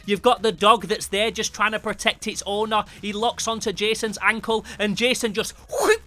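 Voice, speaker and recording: A man's voice: sits high, fairly even intonation, normal speed, bright, forceful tone. A British man narrating a comic review in an informal, slangy, sweary and emphatic way. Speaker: host of a comic book review channel. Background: music.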